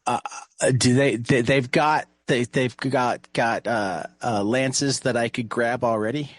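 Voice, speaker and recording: A man chatting casually as a player in a tabletop game, halting and repeating his words. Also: medium pitch, fairly even intonation, dry recording.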